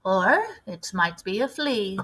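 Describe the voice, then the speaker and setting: A woman voicing a firm, grimly knowing elderly grandmother in a storybook, with measured, grave delivery. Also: mid-range voice, lively intonation, fairly bright tone. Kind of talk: storybook read-aloud character voice.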